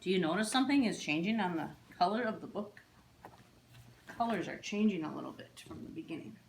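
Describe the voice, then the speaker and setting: A woman reading picture books aloud to young children in an animated, deliberate story-time style with simple, child-directed phrasing. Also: lowish voice. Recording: roomy echo, faint background noise.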